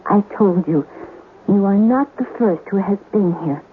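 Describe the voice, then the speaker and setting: An actress playing the ghost of a sea captain's wife in a radio drama, speaking formally and gravely with ominous warnings. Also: female voice, mid-range voice, animated, a little slow, lightly acted. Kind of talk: 1970s radio drama acting. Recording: thin phone-like old radio recording, hiss.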